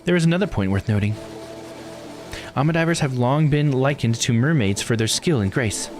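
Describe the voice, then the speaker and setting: A man's voice: mid-range voice, expressive, normal speed, music in the background, lightly acted. A man voicing an earnest, knowledgeable expert who formally explains local lore in a visual novel game. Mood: informative. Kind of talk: visual novel dialogue read aloud.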